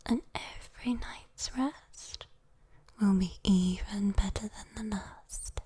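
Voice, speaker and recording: A British woman playing a sweet, teasing, possessive female demon in a breathy, intimate ASMR roleplay whisper. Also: medium pitch, slow pace, dark tone. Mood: teasing, coaxing.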